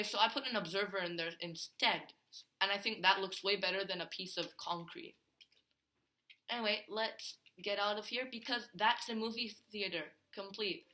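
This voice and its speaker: A man's voice: high voice, pushed and bright. A male gaming host giving a casual, rambling tour of a Minecraft build, full of fillers and restarts.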